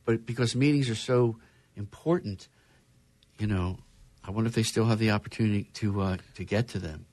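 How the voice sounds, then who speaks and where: A man's voice: fairly low pitch, a little fast, darkish tone, no echo. A man hosting a casual chat show, talking loosely and reading news stories aloud with wry asides. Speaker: podcast host.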